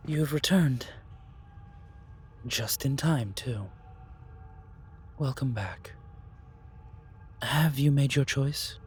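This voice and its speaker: A man playing a calm, wise, otherworldly keeper of magic in a fantasy audio roleplay, speaking formally and ceremoniously. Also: medium pitch, very slow, long pauses, faint music behind. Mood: calm, questioning.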